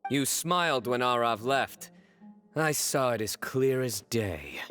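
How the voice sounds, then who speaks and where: An actor playing a stern, composed young male chief investigator in a video game, speaking in a measured, authoritative way. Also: medium pitch, lively intonation, a bit bright, soft background music. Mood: accusing.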